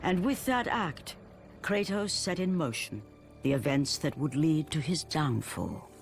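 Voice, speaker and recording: A man narrating a video game story in a grave, measured storyteller's voice. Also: fairly high pitch, expressive, creaky, over music. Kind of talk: scripted narration. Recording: loud background noise.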